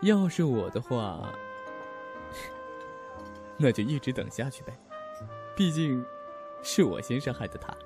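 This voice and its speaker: A man plays a young confidant in an audio drama, teasing with a wistful streak. His mid-pitched voice moves in a lively, expressive way. Music is clearly heard behind it. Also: slow, long pauses. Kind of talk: amateur audio drama acting.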